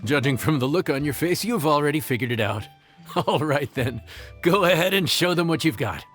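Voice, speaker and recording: A man's voice: a little high, expressive, normal speed, fairly bright tone, soft background music. An actor playing a good-natured, reassuring male cooking mentor in a video game. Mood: encouraging.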